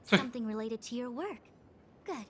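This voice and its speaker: A woman's voice: on the high side, expressive, normal speed, a bit bright, slight background noise. A woman playing a learned, exacting diviner in a video game, speaking formally and precisely in long explanations. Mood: composed, businesslike. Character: learned, exacting female diviner, long-winded and self-assured. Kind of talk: scripted video game character dialogue.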